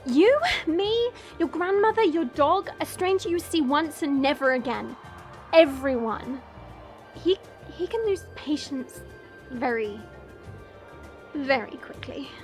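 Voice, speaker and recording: A woman's voice: high voice, expressive, slow, a little mellow, soft background music. A woman playing a haughty yet playful young dragon princess in a fantasy audio roleplay, breezy and teasing. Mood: sheepish, evasive. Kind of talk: fan audio roleplay acting.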